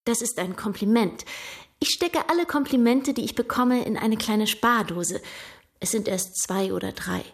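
A woman's voice: a little high, normal speed. An actress playing a restless, guarded young woman in a radio drama, earnest, probing and direct in her speech. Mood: wry, probing.

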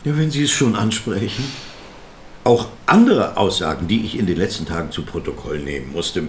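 A man's voice: deep voice, animated, moderate pace, a little hiss or hum. A man playing a patient, sceptical older investigator near retirement, asking probing questions in a naturalistic radio drama. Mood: apologetic, weary.

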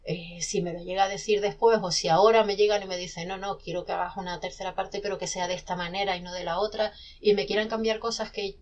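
A woman's voice: medium pitch, fairly even intonation, quick pace, hardly any pauses. A woman, a self-published novelist, talking animatedly in a casual podcast conversation with many restarts.

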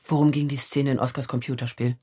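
A woman acting a vulnerable, searching woman in her thirties in a naturalistic radio drama, with a husky, breathy voice. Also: extremely low pitch, level tone, fast, dark tone. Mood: subdued. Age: 30s. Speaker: lead actor.

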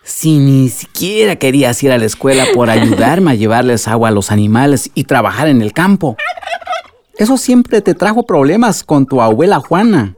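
An actor playing a wise, loving elderly village grandfather in a radio drama, with a Mexican accent and a measured, reflective way of advising. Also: mid-range voice, lively intonation, a little mellow, close to an everyday voice. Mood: gentle, curious. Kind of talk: Spanish-language radio drama acting.

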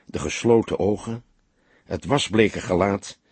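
A man's voice: lowish voice, measured pace, a little mellow, husky, no echo. A man narrating a crime novel as an audiobook, reading scripted prose in a dramatic storytelling style.

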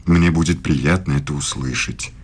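An actor playing a married middle-aged philosophy professor in a radio play, speaking formally and with quiet, insinuating intimacy. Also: male voice, rumbling low, normal speed, slight background noise. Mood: seductive, teasing.

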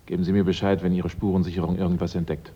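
An inquisitive amateur sleuth in a radio play, low and dark in tone. He probes with dry courtesy and keeps a cool head. The recording is dull and hissy. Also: little pitch movement, rapid-fire, slightly rough. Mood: insistent. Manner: probing, dryly polite. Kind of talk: German radio drama acting.

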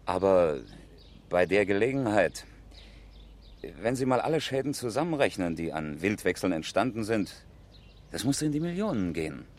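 Very deep-voiced grumbling motorist. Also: male voice, big pitch swings, moderate pace, a little mellow. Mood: grumbling, sarcastic. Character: male motorist giving testimony, polite but grumbling. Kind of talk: 1970s German radio crime drama acting.

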